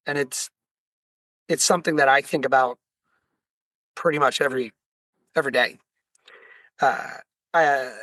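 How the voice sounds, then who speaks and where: A man with a slightly hoarse voice, speaking earnestly and explaining things as a guest in a business webinar interview. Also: fairly high pitch, a bit bright, no echo, low-quality recording. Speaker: guest, franchise company founder.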